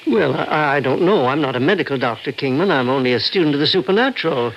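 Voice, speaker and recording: A man's voice: fairly high pitch, lively intonation, fast talker, lo-fi sound, slight background noise. An actor playing a cautious, fatherly doctor in an old radio drama, hesitant and admonishing, on an aged recording. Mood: wary, calming. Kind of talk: mid-century radio drama acting.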